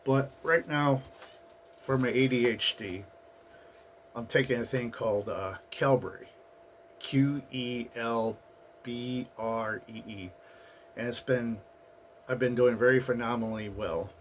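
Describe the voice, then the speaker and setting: A man hosting his own channel, talking through his past year in a halting, personal monologue, his voice raspy and hoarse from a recent flu. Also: mid-range voice, slight background noise. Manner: halting, with fillers and restarts. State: getting over a flu, hoarse. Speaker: channel host. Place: at home in the US Northeast.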